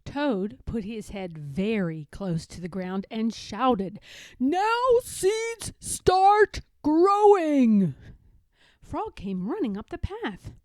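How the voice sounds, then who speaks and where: A woman narrating a children's storybook aloud as an expressive storyteller. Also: mid-range voice, slow, few pauses, dry recording, studio quality.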